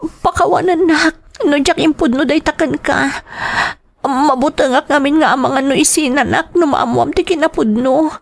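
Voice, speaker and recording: A woman's voice: sits high, normal speed, vocal fry. A woman playing an apologetic, emotional young sweetheart in a dramatized audio story. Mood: guilty confession.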